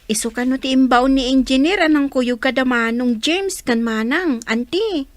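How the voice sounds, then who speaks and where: A high-voiced young village girl acted by a woman, respectful toward her elders. She speaks with an Ilocano accent in a radio comedy, recorded close and dry. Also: moderate pace, no echo, natural voice. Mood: informative.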